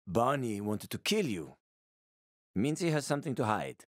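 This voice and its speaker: A man's voice: medium pitch, sing-song, moderate pace, no room sound, studio quality, close to an everyday voice. An actor playing a wry, streetwise male survivor and former cab driver in a video game, colloquial and sweary, with an urgent manner. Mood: grave warning. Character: wry, streetwise male ex-cabbie survivor, fatherly yet shifty. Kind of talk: video game character dialogue.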